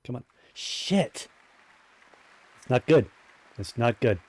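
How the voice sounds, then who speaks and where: A man's voice: mid-range voice, expressive, slow pace, darkish tone, breathy edge. A man giving casual, informal game commentary in short reactions as a streamer. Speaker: gaming streamer. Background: faint game music.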